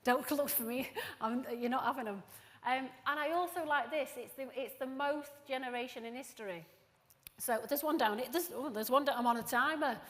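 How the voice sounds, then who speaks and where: A woman's voice: high voice, moderate pace, a bit bright. A woman in her forties with a Yorkshire accent, giving a jokey, self-deprecating conference talk full of asides and fillers. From Doncaster, England. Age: 40s. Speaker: conference speaker. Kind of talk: chatty, jokey presentation. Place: conference hall. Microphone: handheld or lapel mic. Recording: long hall reverb.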